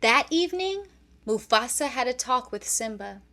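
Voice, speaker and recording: A woman narrating a children's read-along storybook in an expressive, deliberate storytelling manner. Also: fairly high pitch, slight background noise.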